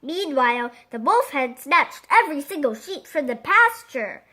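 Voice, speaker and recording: A woman storyteller reads a children's book aloud. Her voice is high and bright, with lively, wide-swinging intonation that gives the narration plenty of expression. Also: normal speed.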